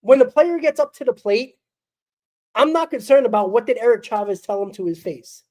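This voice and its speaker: A woman rants bluntly through a solo postgame fan show, exasperated and dismissive. She is sick, so her voice crackles and she coughs now and then. Her tone is dark and mellow. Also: fairly high pitch, lively intonation, fairly quick.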